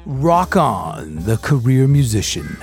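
A man's voice: mid-range voice, big pitch swings, slow. A man speaking as a podcast host, delivering a scripted sign-off and promo. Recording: music underneath. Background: music.

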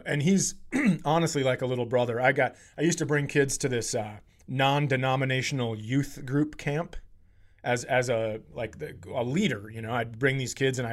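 A man hosting a comedy podcast, telling stories in a loose, sweary, casual chat. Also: medium pitch. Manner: loose, swearing, laughs readily. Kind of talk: casual comic storytelling chat.

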